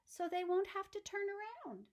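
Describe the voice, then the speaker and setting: A woman's voice: very high-pitched, sing-song, moderate pace, a little mellow, pitched above the performer's own voice. A woman voicing an unflappable young girl in a children's storybook, speaking plainly, firmly and politely. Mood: confident. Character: self-assured, unflappable young girl who knits. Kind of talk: children's storybook read-aloud.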